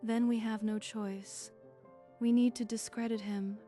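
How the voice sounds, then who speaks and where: Manga dub villainess, female actor: mellow monotone, deliberate, cold, music underneath. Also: a little high, close to an everyday voice. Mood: cold, scheming. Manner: deliberate, calculating. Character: cold scheming woman plotting to frame a man. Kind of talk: dramatic manga dub acting.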